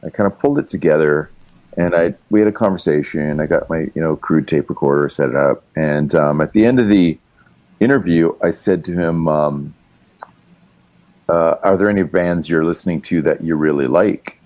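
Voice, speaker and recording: Deep-voiced man telling rambling stories. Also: moderate pace. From Canada. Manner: rambling, animated storyteller with many fillers. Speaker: guest musician and writer. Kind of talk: casual storytelling chat.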